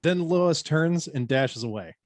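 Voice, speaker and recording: A man's voice: on the high side, lively intonation, a little slow, dry recording, clean studio sound. A man reading stage directions as narrator in a scripted table read.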